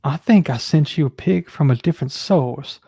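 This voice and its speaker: A man with a fairly high but mellow voice, recorded dry. He reads documents aloud in the informal setting of a live panel show. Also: moderate pace, creaky, breathy edge, no room sound. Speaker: panellist reading emails aloud.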